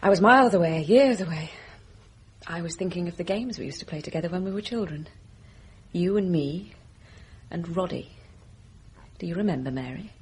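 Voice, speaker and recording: A woman's voice: lowish voice, lively intonation, normal speed, natural voice. An actress playing a genteel young English lady in a radio drama, politely clipped and brittle, hiding inner turmoil. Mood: wistful. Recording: radio drama with faint background noise.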